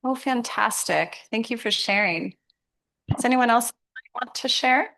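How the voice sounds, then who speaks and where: A woman's voice: on the high side, unhurried, vocal fry, pushed and bright, rough recording. A woman presenting a program to students, interactive and encouraging, often affirming what they say.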